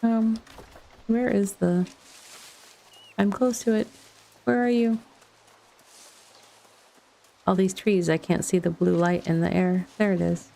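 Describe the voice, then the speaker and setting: A woman's voice: mid-range voice, fairly even intonation, slow, vocal fry, long pauses, mellow. A middle-aged woman chatting casually as she streams a video game, rambling a little and thinking aloud. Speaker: livestreamer playing a video game. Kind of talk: casual chat over gameplay.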